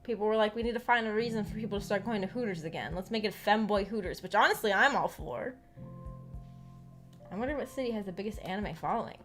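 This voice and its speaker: An American woman streamer chatting casually in a rambling, halting, giggly way. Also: mid-range voice. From United States. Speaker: streamer, visual novel book club host. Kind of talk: casual livestream chat. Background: background music.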